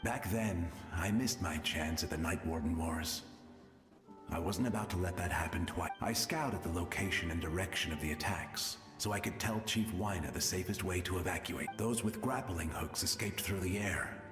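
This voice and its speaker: A man playing the gentle, resigned ghost of a selfless warrior in a video game, wistful, with ghostly reverb over music. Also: sits low, moderate pace. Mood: earnest. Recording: long hall reverb under prominent music.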